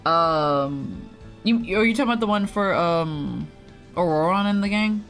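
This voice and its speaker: A woman's voice: fairly low pitch, lively intonation, unhurried. A woman livestreaming a game, reacting informally and chatting casually as she plays. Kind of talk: casual livestream chat while playing. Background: faint game music.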